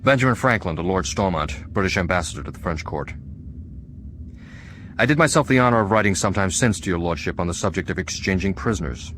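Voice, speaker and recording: A man's voice: sits low, lively intonation, a bit bright, slight background noise. An actor playing a dignified, indignant elder American statesman reading letters formally in a radio drama. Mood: dignified reproach. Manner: formal, measured.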